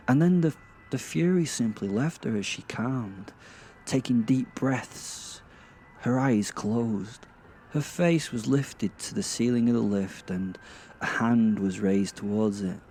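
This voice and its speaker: A man playing a sardonic, awkward werewolf who narrates in a halting, self-mocking way with theatrical emphasis, in a horror-comedy audio drama. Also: mid-range voice, warm tone. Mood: awed. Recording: clear background ambient noise.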